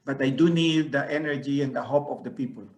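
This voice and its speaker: A man's voice: mid-range voice, fast talker, darkish tone. A man moderating an online event as a bilingual host and interpreter.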